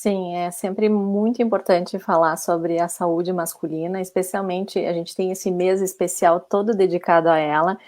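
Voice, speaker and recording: A woman's voice: fairly low pitch, a little mellow. A woman from southern Brazil with a southern Brazilian accent, a guest urologist who speaks in a friendly way and stumbles slightly over her words. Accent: southern Brazilian Portuguese.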